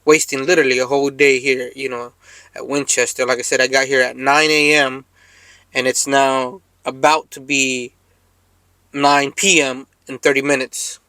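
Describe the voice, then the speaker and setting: A man's voice: medium pitch, little pitch movement, moderate pace, bright, forceful tone, no echo. An American man chatting casually to viewers as a trucker vlogger, rambling along in laid-back street slang.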